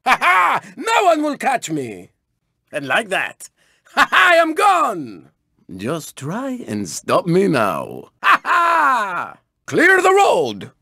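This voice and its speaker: A man's voice: high-pitched, huge pitch swings, takes it slowly, gravelly, pushed and bright, dry recording, clean studio sound, heavily acted. A man voicing a cocky, flamboyant race car in a video game, with a Spanish accent and a boastful, dramatic manner. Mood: boastful, gleeful. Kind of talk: theatrical video game character barks.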